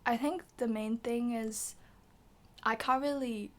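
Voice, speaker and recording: A woman's voice: fairly high pitch, fairly even intonation, slow pace, slight background noise. A young woman in her late teens from Macau, talking with a slightly British-tinged accent. She chats casually as a guest, piling up fillers, and often lets her phrases fade into a near whisper. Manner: chatty, filler-heavy, trails into soft half-whispers. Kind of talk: casual chat.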